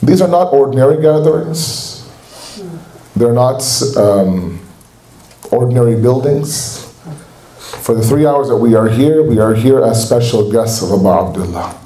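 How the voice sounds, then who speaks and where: A man with a Canadian accent delivers an earnest, exhorting sermon in a mellow, relaxed voice. His words ring out through an echoey hall at a community center. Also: medium pitch, unhurried. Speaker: speaker at a religious gathering.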